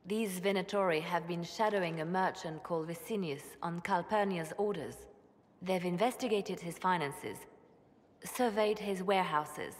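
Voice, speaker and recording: An actress playing a shrewd, composed female spymaster in a video game, measured and quietly resolute. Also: on the low side, lightly acted. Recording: long reverb of a stone hall.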